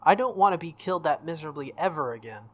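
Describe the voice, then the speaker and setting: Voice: mid-range voice, lively intonation, fast talker, natural voice. A game streamer voicing an earnest, protective, stubborn young man who scolds bluntly in a visual novel. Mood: exasperated.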